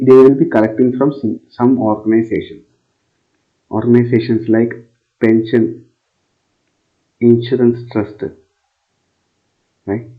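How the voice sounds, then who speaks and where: A man from India teaching a current affairs lesson for exam students. He fills his talk with pauses and asks "right?" to check the listeners follow. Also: medium pitch. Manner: many fillers and "right?" checks, repeats phrases while explaining. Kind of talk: exam coaching lecture explaining a news article. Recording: tinny phone-like sound.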